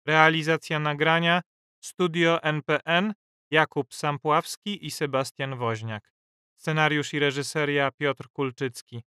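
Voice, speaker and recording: A man's voice: fairly high pitch, steady tone, a little slow, pushed and bright, clear, resonant tone, dry recording, studio quality. A man reading scripted credits as an announcer.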